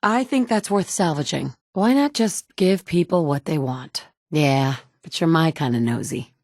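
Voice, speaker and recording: A blunt, dry-witted survivor. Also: female voice, low-pitched, lively intonation, a little slow, darkish tone, dry recording, heavily acted. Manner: direct, matter-of-fact. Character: capable, dry-witted woman survivor and leader. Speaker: player character. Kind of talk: video game dialogue. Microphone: close studio mic.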